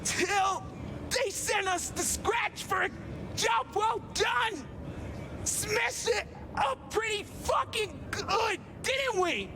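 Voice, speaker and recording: An actor playing a crude, loud thug in a video game, with coarse slangy speech. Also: male voice, extremely high pitch, lively intonation, slow, full character voice. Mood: belligerent, impatient. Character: crude, loud, hungry thug squatting in a wrecked club. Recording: noisy, long echoing reverb.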